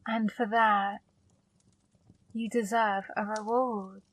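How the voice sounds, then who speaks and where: A woman playing a flirty, controlling female demon in an ASMR roleplay, speaking slowly, softly and commandingly into a close mic. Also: medium pitch, level tone, no echo, poor audio. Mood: seductive. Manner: slow, soft, commanding.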